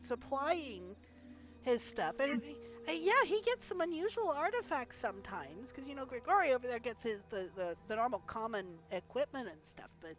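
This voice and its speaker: A woman's voice: on the high side, very animated, normal speed, highs cut off, soft background music. A woman voicing a gossipy common villager who rambles, scoffs and trails off mid-sentence. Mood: grumbling gossip.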